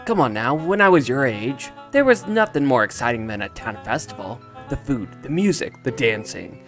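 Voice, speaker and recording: A man puts on a hearty middle-aged cartoon businessman from a video game. He is folksy and salesmanlike, with lively pitch swings over faint music. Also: moderate pace. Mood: hearty, jovial.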